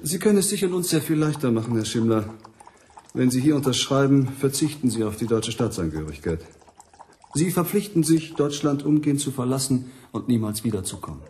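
A cold state official played by a man speaks formally in a mid-pitched voice. His manner is measured and coercive, a radio drama villain with faint noise behind him. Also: animated, a little mellow, natural voice. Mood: coolly coercive. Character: cold, formal Nazi official pressing a prisoner.

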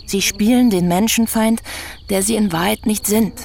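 An actress playing an earnest young woman journalist who gently challenges an older man in a radio play. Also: mid-range voice, steady tone, moderate pace, vocal fry, slight background noise, lightly acted. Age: young adult.

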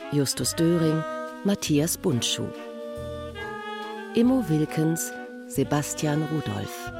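A woman reading a scripted credits announcement as an announcer. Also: deep voice. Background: saxophone music.

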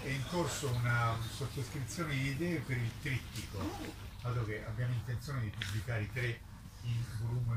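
A man giving an informal public presentation, emphatic and polemical, wandering through hesitations and digressions. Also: medium pitch. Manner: halting, digressive delivery with drawn-out hesitations. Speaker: book publisher presenting a literary festival. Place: live public book presentation, recorded from a distance. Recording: distant mic, echoey, clearly noisy ambience.

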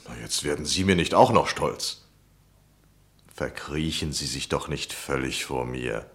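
A man's voice: a bass voice, animated, measured pace, slightly rough, dry recording, exaggerated voice. An actor playing a polite, persuasive and probing male journalist in a formal, gallant manner, in the style of a 1960 German radio play. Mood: coaxing, reassuring.

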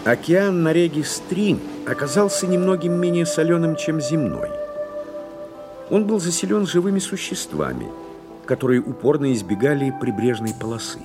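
A man's voice: on the high side, lively intonation, moderate pace. A man reading scripted, dramatic narration for an audio drama. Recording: clear music under the voice.

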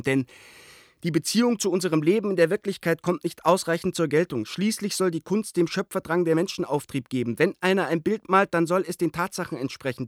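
An actor playing an embittered, indignant painter, venting a letter aloud in an agitated, sardonic and articulate way for a radio play. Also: male voice, a little high, no room sound, clean studio sound, close to an everyday voice.

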